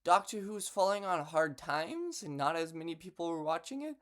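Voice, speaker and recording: A man's voice: a little high, animated, fairly quick, a bit bright. A young man talking casually and unscripted to camera as a vlogger, with a hesitant delivery full of false starts. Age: young adult. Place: bedroom in a home.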